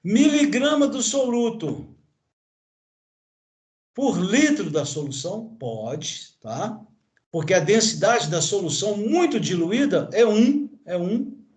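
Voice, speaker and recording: Older brazilian guy teaching chemistry, high voice jumping all over, lots of "ok, everyone?", echoey room. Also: animated, slow pace, a little mellow. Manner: pointing at a graph with constant tag questions and fillers. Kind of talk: online classroom lecture.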